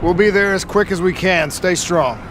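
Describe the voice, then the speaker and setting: A man's voice: normal speed, lightly acted. A man acting as a determined, loyal special forces soldier in a cinematic military game. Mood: urgent. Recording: loud music and vehicle rumble behind. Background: loud music, vehicle noise.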